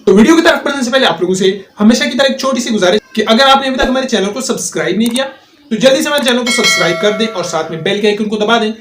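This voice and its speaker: Male tutorial host, Pakistani accent, high-pitched voice, walking viewers through app steps. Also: racing, fairly bright tone, slight background noise. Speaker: host of a tech tutorial channel. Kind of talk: scripted-style phone app tutorial narration.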